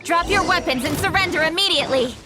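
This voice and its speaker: A woman's voice: very high-pitched, fast talker, heavy background noise. An actress playing a commanding, self-assured noblewoman official in a video game, giving orders with authority. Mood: combative. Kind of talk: English video game voice acting.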